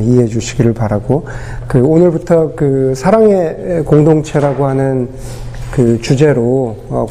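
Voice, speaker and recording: A man preaching a sermon as pastor, his voice hoarse from a cold, talking unhurriedly with many pauses and fillers. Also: mid-range voice, dark tone, a little hiss or hum.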